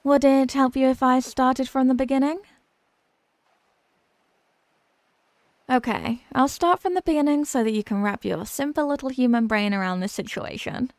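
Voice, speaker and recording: A woman plays a young mermaid princess with a fairly high voice, recorded close and dry. She is possessive and haughty, talking down to her listener with sweet, teasing smugness in an ASMR roleplay style. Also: fairly quick, few pauses, a little mellow, dry recording. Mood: smugly reassuring. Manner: condescending, sweetly smug, teasing. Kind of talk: scripted roleplay ASMR audio drama.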